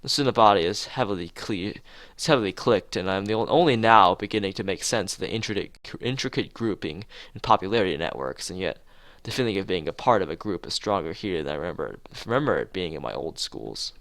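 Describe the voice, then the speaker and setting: A man's voice: sits low, lively intonation, a little fast, hardly any pauses, a bit bright, no room sound. A man reading a visual novel aloud as narration, now and then stumbling and rereading phrases, with music behind him. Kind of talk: dramatized read-aloud narration of a game.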